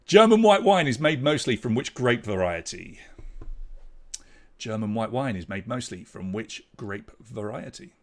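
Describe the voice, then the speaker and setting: A man's voice: mid-range voice, animated, a little slow. A man with an English accent hosting a live online pub quiz, reading out questions and scores and bantering with the players. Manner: chatty, jokey banter with players between questions. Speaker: quiz host.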